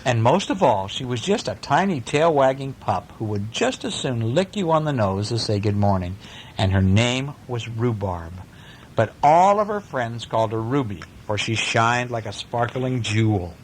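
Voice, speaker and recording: A man reading a storybook aloud to children in a playful, animated way, with asides to his young listeners. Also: mid-range voice, moderate pace, slight background noise. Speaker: parent reading a bedtime story.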